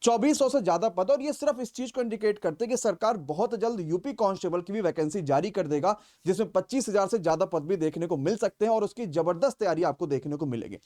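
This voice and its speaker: High-pitched man teaching. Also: animated, quick pace, few pauses, darkish tone. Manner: explanatory, repeats key points for emphasis. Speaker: coaching class teacher. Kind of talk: informal exam vacancy briefing.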